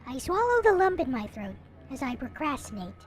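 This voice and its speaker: Female narrator, high and animated voice, dramatic visual novel read-aloud with expressive delivery, music underneath. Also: fairly quick. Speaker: video creator reading a visual novel aloud. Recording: music playing behind the voice. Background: visual novel soundtrack music.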